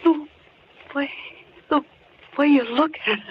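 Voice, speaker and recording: A woman's voice: high-pitched, takes it slowly. An actress playing a terrified young captive, tearful and desperately pleading for her life in a 1940s radio horror drama. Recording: muffled, noisy old radio recording.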